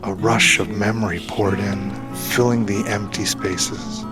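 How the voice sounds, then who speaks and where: A man narrating a dramatic scripted story in a measured, solemn way, with phrases sometimes falling hushed and breathy. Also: on the low side, fairly even intonation. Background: background music.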